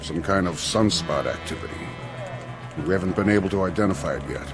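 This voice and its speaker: A deep voiced mission control guy, matter-of-fact, sci-fi drama, music behind. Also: lively intonation, brisk. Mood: grave. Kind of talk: science fiction audio drama acting.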